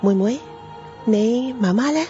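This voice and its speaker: A woman's voice: on the high side, animated, takes it slowly, warm tone. Woman playing a coaxing ghostly young lady, music behind. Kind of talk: radio horror drama acting. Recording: dull lo-fi audio. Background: prominent background music.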